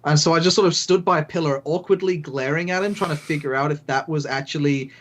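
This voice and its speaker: British guy guest on a podcast, voice a bit high, chatty n hesitant storytelling. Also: quick pace. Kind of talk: casual chat.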